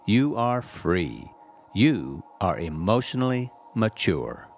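A man reading a scripted inspirational message as a reverend, in a measured, earnest and carefully phrased way. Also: lowish voice, very animated, a little hiss or hum. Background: background music.

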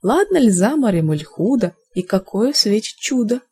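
A woman's voice: medium pitch, sing-song, normal speed, dark tone, breathy edge. A woman voicing a hospitable, curious tsar in a verse fairy tale, in a stately, hearty manner. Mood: curious, welcoming.

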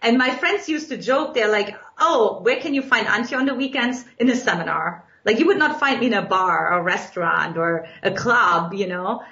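A woman's voice: mid-range voice, moderate pace, hardly any pauses. German-accented woman guest, reflective storyteller, roomy muffled recording. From Eastern Germany. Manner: earnest, reflective, expressive storytelling. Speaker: guest, dating coach. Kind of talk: podcast interview storytelling. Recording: echoey, dull lo-fi sound.

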